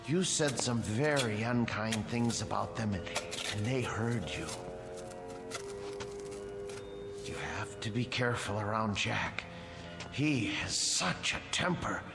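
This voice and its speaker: An actor playing a secretive, disturbed male caretaker of wax figures in a radio drama, halting and evasive. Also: fairly low pitch, lively intonation, creaky. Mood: brooding, resigned. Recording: music under the voice.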